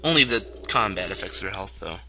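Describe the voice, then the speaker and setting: A young man with a somewhat low, hoarse voice talks his way through a strategy game in a loose, rambling manner, chuckling as he goes. He records at home, and a clear hiss of background noise sits under his voice throughout. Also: animated, a little fast, sharp tone. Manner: chatty, rambling, chuckles often. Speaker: let's play gamer. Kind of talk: casual gameplay commentary.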